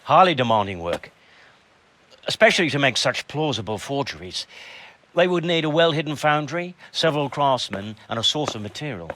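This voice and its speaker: Nervous coin expert, medium pitch, apologetic, pedantic, medieval game drama, faint noise behind. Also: male voice, very animated, normal speed, fairly bright tone. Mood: explanatory. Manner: hesitant, fussy, apologetic. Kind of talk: dramatic video game cutscene acting.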